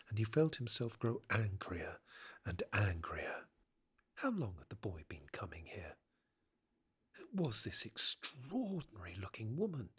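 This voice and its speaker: A man narrating an audio drama in a literary, measured, suspenseful way. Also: fairly low pitch, a bit bright, husky, full character voice. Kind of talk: dramatic scripted story narration.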